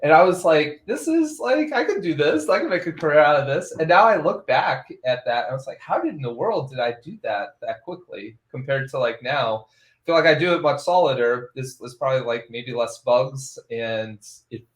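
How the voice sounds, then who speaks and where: A man's voice: on the high side, expressive, moderate pace. A man hosting a casual tech podcast, rambling and laughing often between phrases. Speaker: podcast host. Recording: roomy echo.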